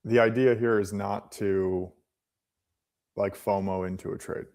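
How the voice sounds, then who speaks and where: A man's voice: fairly low pitch, steady tone, a little slow, a little mellow. A man in his late twenties co-hosting a live trading stream, patiently walking through chart settings and restarting his phrases. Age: late 20s. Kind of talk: casual live-stream explaining.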